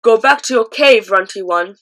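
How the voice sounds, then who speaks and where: A woman voicing a huge, bullying male giant who booms his words in broken grammar. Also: lively intonation, normal speed, fairly bright tone. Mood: menacing. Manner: booming, bullying, ungrammatical. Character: huge brutish male man-eating giant.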